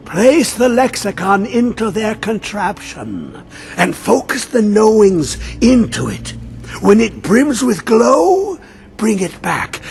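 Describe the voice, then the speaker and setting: A man voicing a mad, obsessed old male scholar who talks in riddles and swings between high and low. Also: creaky, exaggerated voice. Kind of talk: video game voice acting. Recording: game audio with faint music.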